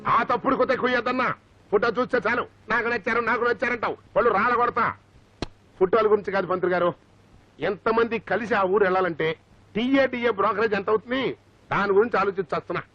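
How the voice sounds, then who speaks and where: A man's voice: high-pitched, fast, sharp tone, full character voice. A man playing a bossy, scolding, penny-pinching father in a film comedy. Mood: grumbling, bossy. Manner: scolding, blustering. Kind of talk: Telugu film comedy acting. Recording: dull lo-fi film soundtrack.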